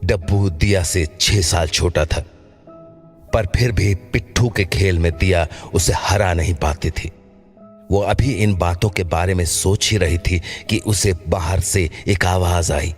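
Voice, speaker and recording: A male narrator tells a scripted horror story. His voice is very deep and he takes his time, with some music playing behind him. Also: a little slow.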